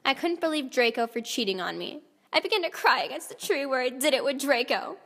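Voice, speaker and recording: A woman's voice: sits high, lively intonation, a little fast, a bit bright. A woman giving a theatrical, comedic dramatic reading of fan fiction as the narrator. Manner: theatrical, often breaking into laughter. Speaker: narrator, reader. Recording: long hall reverb.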